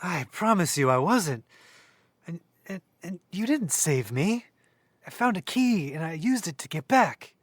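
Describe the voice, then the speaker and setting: A young man acting in an audio drama as a shaken young man who tells of things earnestly, with halting pauses. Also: high-pitched, big pitch swings, no echo, heavily acted. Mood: dazed, insistent. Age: young adult. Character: shaken young man recounting an eerie vision.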